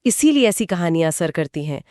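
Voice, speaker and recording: A woman's voice: lowish voice, very animated, fairly quick, a little mellow, dry recording, clean studio sound. A woman co-hosting a podcast, reacting to a horror story and explaining why it unsettles. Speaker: podcast co-host. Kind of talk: conversational podcast discussion.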